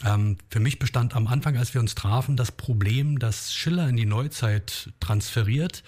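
Husky Berlin-accented man, chatty. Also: medium pitch, normal speed. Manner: relaxed, chatty with many hesitations. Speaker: musician guest. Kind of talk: interview chat.

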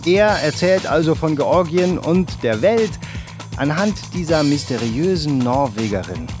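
Theatrical male reader with lively pitch. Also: unhurried, over music. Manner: theatrical, swings between loud bursts and hushed lines. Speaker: book reviewer. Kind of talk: expressive reading of a novel excerpt and review.

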